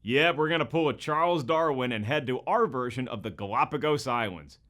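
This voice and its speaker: A man hosting a show, delivering scripted entertainment commentary in an animated, emphatic manner. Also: mid-range voice, brisk, faint music behind. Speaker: show host.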